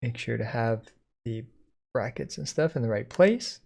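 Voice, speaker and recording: A man giving a casual software code-along, thinking aloud informally as he types. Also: medium pitch, animated, normal speed, vocal fry. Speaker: software tutorial instructor.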